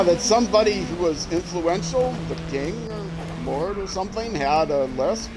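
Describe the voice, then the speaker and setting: A man's voice: fairly high pitch, poor audio. Rambling man streaming a racing game. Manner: rambling, trailing off and restarting; sudden excited outbursts while racing. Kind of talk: casual gameplay commentary. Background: loud game music and car engine sounds.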